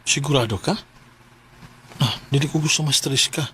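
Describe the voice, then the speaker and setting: A man's voice: medium pitch, moderate pace, fairly bright tone, slight background noise, lightly acted. A man with a Cebuano Filipino accent playing a devoted, earnest young husband in a radio drama, speaking reassuringly. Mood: caring.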